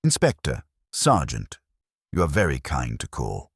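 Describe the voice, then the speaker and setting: A man's voice: extremely low pitch, wildly swooping pitch, a little slow, creaky, a little hiss or hum, heavily acted. A man voicing a prim, politely fussy woman in a mystery story, speaking precisely and genteelly.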